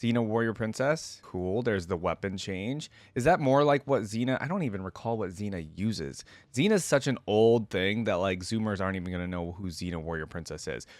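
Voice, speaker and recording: A man's voice: on the low side, animated, a little fast, few pauses, no echo. A man giving casual, informal, lightly amused commentary as host of a reaction video.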